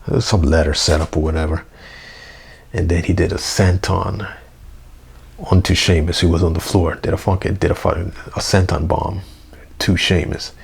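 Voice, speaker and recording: A young man, hoarse, rambling through a casual unedited review vlog with lots of fillers and slang. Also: low voice. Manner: rambling, full of fillers like "you know" and "man". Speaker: wrestling review vlogger. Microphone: basic mic, plans a new one.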